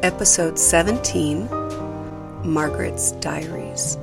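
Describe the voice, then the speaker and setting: Low-voiced woman announcer over loud guitar. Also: lively intonation, takes it slowly, creaky. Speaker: show announcer. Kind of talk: scripted podcast introduction.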